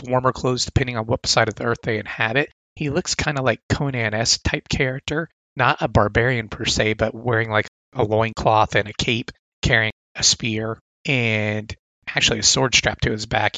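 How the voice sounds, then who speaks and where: A man's voice: medium pitch, steady tone, moderate pace, creaky, sharp tone, dry recording, poor audio. A man with a sore throat casually reviewing comic catalog picks, reading blurbs aloud with enthusiastic asides.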